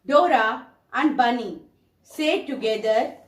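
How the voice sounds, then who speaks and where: A woman tells a scripted story for children. She speaks slowly and expressively with lively swings in pitch, and the room echoes around her. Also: far from the mic. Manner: slow, deliberate, expressive. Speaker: storyteller and puppet show host.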